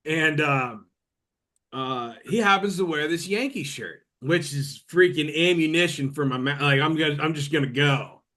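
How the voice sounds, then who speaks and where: Bright-voiced chatty man in his thirties. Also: a little high, normal speed. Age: 30s. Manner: rambling, chatty, lots of fillers. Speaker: podcast host. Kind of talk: casual chat.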